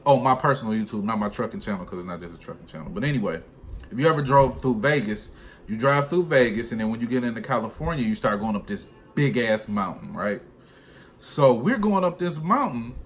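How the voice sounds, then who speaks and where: A young man from the United States chats through a story for his vlog. He is slangy and conversational, with an animated way of retelling and a middling pitch. Some noise sits behind his voice. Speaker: truck driver and vlogger. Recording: clearly noisy room ambience.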